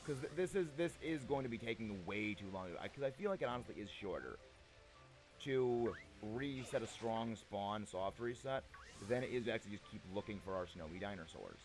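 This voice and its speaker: Young guy live streaming, bit gravelly, voice bounces up n down a lot, faint music behind. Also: on the low side, lively intonation, a little fast. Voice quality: slightly gravelly. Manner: playful, chatty, repeats himself, reads chat aloud. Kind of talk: casual live-stream chat with viewers.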